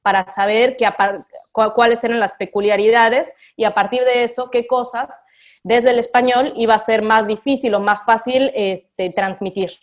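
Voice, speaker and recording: A woman's voice: a little high, steady tone, moderate pace. A woman teacher, reflecting aloud in a radio interview with frequent fillers. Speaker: literacy teacher.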